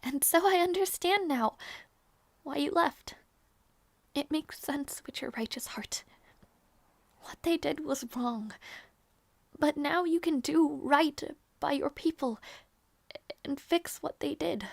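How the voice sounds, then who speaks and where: Girl acting as a sweet bashful princess, high creaky voice, soft n halting, fantasy audio roleplay. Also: no room sound, exaggerated voice. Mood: earnest, reassuring. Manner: soft, hesitant, tender, halting delivery. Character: gentle young noble princess, earnest, bashful and affectionate.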